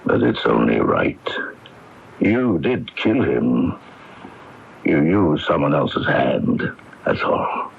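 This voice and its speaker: An actor playing a coldly polite, menacing mob boss in a radio mystery, speaking formally and deliberately. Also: male voice, extremely low pitch, huge pitch swings, creaky, heavily acted. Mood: coldly insinuating. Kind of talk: radio mystery drama acting. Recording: dull, noisy AM radio recording.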